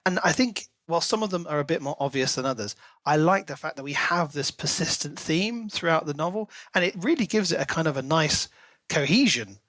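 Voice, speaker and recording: A man's voice: fairly high pitch, lively intonation, a little fast, fairly bright tone, dry recording. A man co-hosting a podcast, talking through a book in a casual, thoughtful and analytical way. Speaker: podcast co-host.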